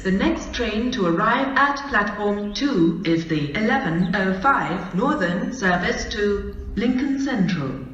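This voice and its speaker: An automated station announcer, a woman, reading railway announcements in measured, evenly stitched phrases. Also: medium pitch, fairly bright tone, a little hiss or hum. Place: outdoor railway station platform. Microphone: public address speakers recorded from a distance. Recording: echoey muffled station tannoy from far off.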